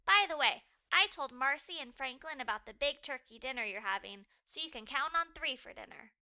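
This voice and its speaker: A woman's voice: high voice, animated, fairly quick, sharp tone, exaggerated voice. A woman voicing a bossy, blunt young girl in a storybook, emphatic and demanding. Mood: breezy, pushy.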